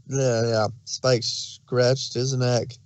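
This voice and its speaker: A man's voice: medium pitch, little pitch movement, drawn-out words, darkish tone, clear, resonant tone, dry recording. A man narrating a fan fiction story, reading it aloud.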